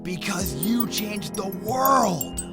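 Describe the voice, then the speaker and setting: A man's voice: sits high, animated, slow pace, vocal fry, over music, exaggerated voice. An actor playing a curious, earnest young man of mixed blood in a fantasy audio drama. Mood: awed.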